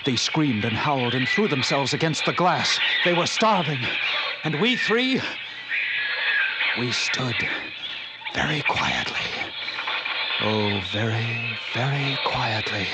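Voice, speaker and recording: A man narrating as a haunted lighthouse keeper in a slow, hushed, dread-filled way, in 1940s radio acting style, on an old radio recording with loud music. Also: medium pitch, lively intonation, very noisy. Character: haunted lighthouse keeper telling his story.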